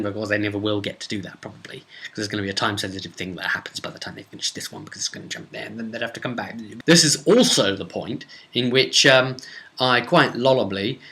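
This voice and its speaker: British man, bright-voiced, chatty rambling host. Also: fairly low pitch, fast talker. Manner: rambling, chatty, acts out bits of dialogue. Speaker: host and game master. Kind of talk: casual unscripted recap of a tabletop role-playing game session.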